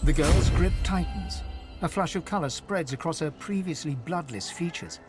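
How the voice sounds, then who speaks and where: A man playing a calm storyteller who narrates a fantasy video game in a measured, descriptive way. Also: on the high side, music in the background, natural voice. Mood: calm, hushed. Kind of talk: scripted narration.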